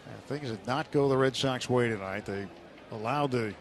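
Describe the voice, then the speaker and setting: A man's voice: medium pitch, moderate pace, a little hiss or hum. A man calling a baseball game live on the radio as the play-by-play announcer. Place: stadium broadcast booth.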